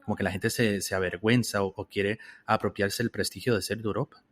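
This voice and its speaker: A man from Costa Rica answering interview questions in a measured, reflective way, often restarting his phrases. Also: on the low side, steady tone, a bit bright. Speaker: interview guest.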